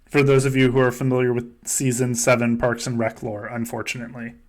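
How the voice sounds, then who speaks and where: A man's voice: medium pitch, little pitch movement, brisk. A man hosting a casual comedic recap with friends, swearing freely and often laughing through his sentences. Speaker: podcast host.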